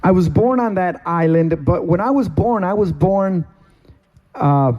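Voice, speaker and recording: A middle-aged man from Cuba, a pastor giving a sermon testimony from a stage, with slow, deliberate, emphatic phrasing. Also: high-pitched, expressive, lo-fi sound. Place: stage in a meeting hall.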